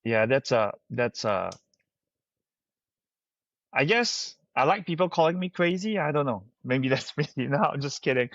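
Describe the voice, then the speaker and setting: Middle-aged man, Singaporean accent, podcast guest, anecdotal storyteller, medium pitch, slightly muffled dry audio. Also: animated, measured pace, long pauses, fairly bright tone, no room sound. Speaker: company founder, podcast guest. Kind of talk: conversational interview answers, anecdotal.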